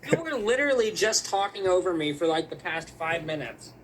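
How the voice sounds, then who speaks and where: A man's voice: high-pitched, very animated, fairly quick, fairly bright tone. A man bantering casually in a group voice chat over a noisy mic.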